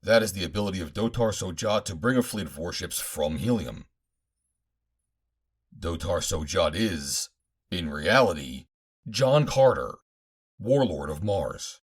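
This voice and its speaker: A man voicing an earnest, resolute male adventure hero in an audiobook, firm, deliberate and declamatory. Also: low-pitched, lively intonation, breathy edge, full character voice. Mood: firm, revealing.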